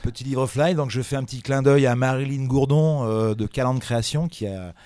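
A man's voice: medium pitch, normal speed, darkish tone, no echo. A man whose first language is not French, presenting a cultural program in a radio interview, hesitant with many fillers and restarts.

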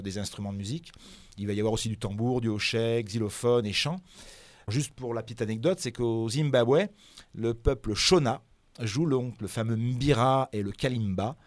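A man's voice: medium pitch, a little mellow, no echo. A man whose first language is not French, presenting a cultural program in a radio interview, hesitant with many fillers and restarts.

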